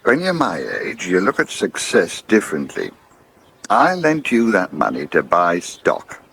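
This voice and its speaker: Husky, low-voiced old country grandfather. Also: wildly swooping pitch, exaggerated voice. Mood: earnest, persuasive. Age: 70s. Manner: gentle, plain-spoken, halting. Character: kindly, proud old farmer grandfather. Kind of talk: naturalistic radio drama acting. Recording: 1980s radio drama broadcast.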